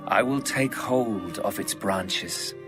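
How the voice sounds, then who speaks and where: A man reading as a tender, adoring biblical lover in a measured, poetic dramatized audio Bible style. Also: on the low side, music in the background.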